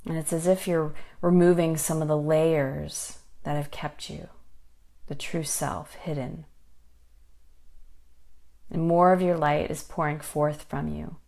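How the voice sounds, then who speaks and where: A woman leading a guided meditation in a soothing, unhurried manner, giving gentle instructions with pauses. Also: deep voice, flat delivery, gravelly. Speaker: meditation guide and life coach.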